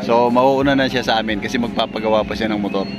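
A man's voice: fairly low pitch, steady tone, fast. A middle-aged man vlogging on a phone camera at an outdoor campsite, chatting casually and teasing his friends with frequent laughter. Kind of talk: casual chat while filming. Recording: loud outdoor noise.

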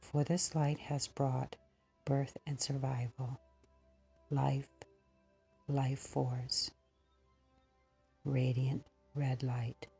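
A woman leading a slow guided meditation in a breathy, husky voice that often nears a whisper, pausing between short phrases. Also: very deep voice, level tone, warm tone, soft background music.

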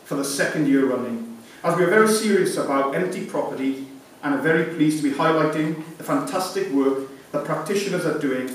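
A man's voice: on the high side, a little fast, few pauses. A man in his thirties reading a formal, scripted award presentation speech as a sponsor. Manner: formal, reading from prepared notes. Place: conference room, indoors. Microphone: lectern mic, picked up by distant camera. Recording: echoey room from far off, hissy background noise.

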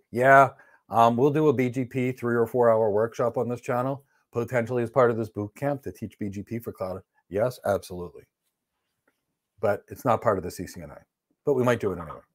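A man's voice: medium pitch, expressive, a little fast. Blunt middle-aged male tech instructor. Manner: blunt, emphatic, matter-of-fact. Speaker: networking instructor. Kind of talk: live-stream Q&A teaching. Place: indoor studio with virtual backdrop.

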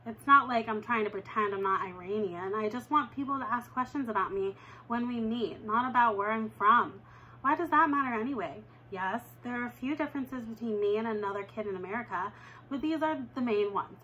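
A young woman reading a children's novel aloud at home, with lively jumps in pitch when she reads dialogue. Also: brisk, a little hiss or hum, low-quality recording. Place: living room at home, indoors.

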